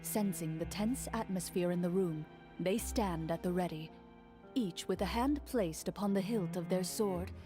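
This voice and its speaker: A woman's voice: fairly low pitch, warm tone, natural voice. A woman voicing a stern, formal game master. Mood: narrating. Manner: measured, formal, dryly stern. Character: poised woman game master narrating a court scene. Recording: game audio with clear music underneath.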